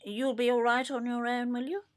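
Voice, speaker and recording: An older woman with an English accent playing a brisk, teasing, affectionate elderly wife in an audio play. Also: a little high, level tone, natural voice. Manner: brisk, wry, tag questions. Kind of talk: naturalistic audio play acting.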